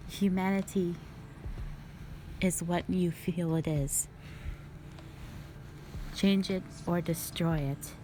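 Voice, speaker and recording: A woman playing a calm, stern, ancient female dragon guardian in a roleplay audio drama, speaking in a formal, measured, proud way. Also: fairly low pitch, a little hiss or hum, rough recording. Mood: solemn.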